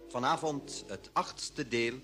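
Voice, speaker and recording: A man's voice: medium pitch, takes it slowly, music in the background. A man giving a formal, old-fashioned radio drama announcement.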